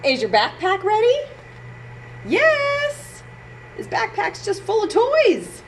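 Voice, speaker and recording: A woman's voice: extremely high pitch, slow pace, rough recording. A woman presenting a library storytime for children, playfully talking, reading aloud and singing straight to her young audience, with noise in the recording. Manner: animated, playful, talks directly to young children. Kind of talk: children's storytime with read-aloud and sing-along.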